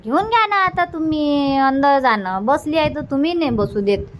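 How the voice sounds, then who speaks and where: कार्टूनमधल्या हुकमी पण काळजी घेणाऱ्या गावाकडच्या मामीला वैदर्भीय मराठीत आवाज देणारी बाई, आग्रहाने बोलणारी. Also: female voice, high-pitched, expressive, moderate pace, a little hiss or hum. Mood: bossy. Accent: Vidarbha Marathi. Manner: insistent, repetitive. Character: bossy but caring village wife and aunt. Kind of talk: cartoon comedy dubbing.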